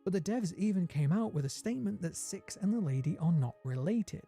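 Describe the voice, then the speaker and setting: A man's voice: high voice, animated, fairly quick, creaky, mellow. A man giving scripted video essay narration, explaining things step by step and building suspense. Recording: prominent music under the voice. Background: music.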